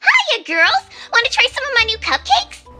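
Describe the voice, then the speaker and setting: A woman voicing a bubbly, excitable pony baker in an amateur cartoon fan fiction reading. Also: very high-pitched, normal speed, exaggerated voice. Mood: cheery.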